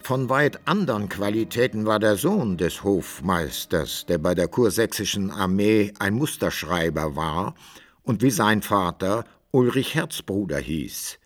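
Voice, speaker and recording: A man's voice: fairly low pitch, animated, a little slow, faint music behind. A man reading scripted literary narration for a radio play.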